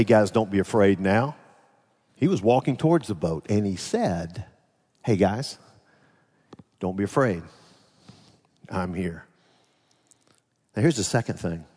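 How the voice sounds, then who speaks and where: A man's voice: on the low side, a little mellow, husky. A man preaching a sermon in a conversational manner, putting rhetorical questions to his congregation. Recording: reverberant hall sound.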